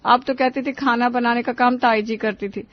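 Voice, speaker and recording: A woman's voice: fairly high pitch, steady tone, normal speed, no echo, highs cut off, natural voice. A woman voicing a frustrated, outspoken young daughter-in-law who argues and complains in a Hindi cartoon story. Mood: protesting. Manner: complaining, argumentative.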